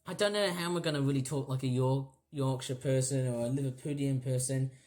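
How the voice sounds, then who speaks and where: A woman's voice: fairly even intonation, fairly quick, warm tone. English-born woman, kinda aussie-sounding accent mixed w british, nasal-ish voice, rambly vlog chat w lots of ums. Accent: mixed British and Australian, mainly Australian. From England. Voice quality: slightly shrill and nasal. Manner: rambling, many fillers and restarts, talks while cleaning. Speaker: vlogger.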